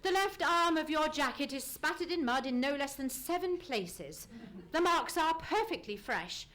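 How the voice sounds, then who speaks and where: A woman playing a courteous, self-assured gentleman detective in a live radio play, with formal, deductive delivery. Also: high-pitched, lively intonation, normal speed. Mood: assured, reassuring. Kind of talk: live old-time radio play acting. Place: live on a theatre stage.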